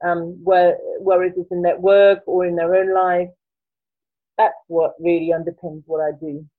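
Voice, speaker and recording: A woman's voice: on the low side, little pitch movement, moderate pace. A French woman in her forties with a French accent answers questions in a thoughtful way. She searches for words and restarts often. Her voice comes through a thin, tinny, phone-like line. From France. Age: 40s. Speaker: guest, coach. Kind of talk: reflective interview answers.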